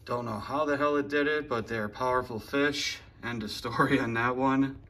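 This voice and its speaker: A man's voice: medium pitch, normal speed. Guy in his 30s, laid back and chatty, phone cam in his living room. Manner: relaxed, chatty, plainspoken. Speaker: fishing channel host. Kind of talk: casual show-and-tell vlog. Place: living room at home, indoors. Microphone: handheld phone camera.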